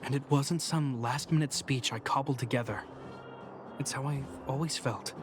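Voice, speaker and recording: A man voicing an earnest young guard captain in a game, speaking in a steady, reassuring way. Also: mid-range voice, lightly acted. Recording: game audio with music behind.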